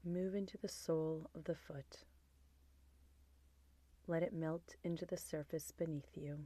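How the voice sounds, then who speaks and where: Female meditation guide: mellow, unhurried, soothing delivery, soft near-whispered endings. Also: sits low, steady tone, no echo. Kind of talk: guided body scan meditation.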